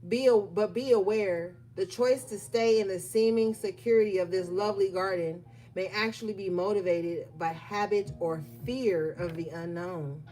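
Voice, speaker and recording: Woman tarot reader at a mid-range pitch with soft music underneath. Her pace is unhurried and she alternates between reading from the guidebook and chatting loosely. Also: steady tone, rough recording. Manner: reads guidebook text aloud, then riffs conversationally with fillers. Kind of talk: collective tarot reading, casual address to viewers.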